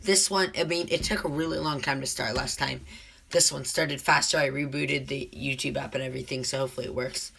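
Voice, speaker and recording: Man, sick with a cold, casual live-stream chat, rambling with ums, gravelly voice. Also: medium pitch, steady tone, brisk, sharp tone. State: sick with a cold, voice deeper than usual. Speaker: livestream host.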